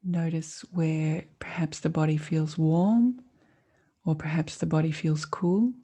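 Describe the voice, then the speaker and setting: Calm lady doing guided meditation, low relaxed voice, soothing, lots of long pauses. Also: breathy edge. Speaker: meditation teacher.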